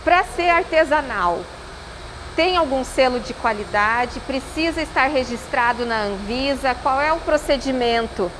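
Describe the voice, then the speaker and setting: Woman, TV reporter, upbeat and direct, high voice, noisy background. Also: animated, measured pace, a bit bright. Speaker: reporter and interviewer. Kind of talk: TV interview.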